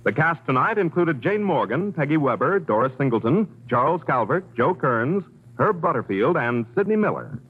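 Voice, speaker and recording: Vintage radio announcer, man, lively intonation, rough-edged voice, tinny old recording, scripted credit read. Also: on the high side, moderate pace.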